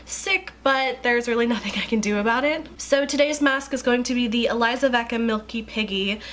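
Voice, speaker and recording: A woman's voice: a little high, a little fast, a bit bright, a little hiss or hum. A young woman with a stuffy, congested voice chatting casually through a skincare review, with lots of likes and ums. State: congested from a cold. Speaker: beauty vlogger.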